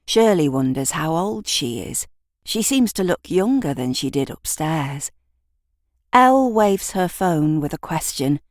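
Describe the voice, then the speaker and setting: A woman narrating a scripted audio drama in a measured, deliberate storytelling manner. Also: low voice, very animated, dry recording, studio quality. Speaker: writer and narrator.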